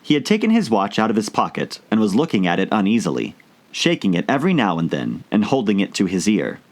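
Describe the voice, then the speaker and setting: A man reading a children's story aloud as an audiobook narrator, in expressive scripted narration. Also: fairly low pitch, fast talker.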